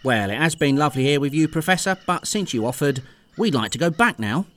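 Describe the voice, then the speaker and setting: An actor playing a cheeky, bickering Cockney henchman in an audio play, mock-polite in rough grammar. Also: on the high side, animated, a little fast, fairly bright tone. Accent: Cockney English.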